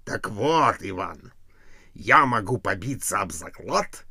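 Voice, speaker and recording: A man's voice: lowish voice, animated, slow, vocal fry, bright, forceful tone, husky, no room sound, clean studio sound, full character voice. A man voicing a shrewd, sly village godfather who teases in a folk tale. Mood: mocking, challenging.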